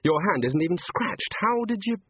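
Man playing an earnest young man, lively pitch. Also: slightly rough, no echo. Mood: puzzled. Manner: awkward, halting. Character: earnest, puzzled, mild-mannered young man. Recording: thin narrow-band phone-like sound.

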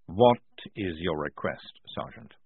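A man plays an unflappable senior general who speaks slowly and calmly. The voice is low and husky. The sound is dry and tinny, like a phone line. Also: no room sound, full character voice. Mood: measured. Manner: slow, measured, calm. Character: calm, unflappable senior male army general.

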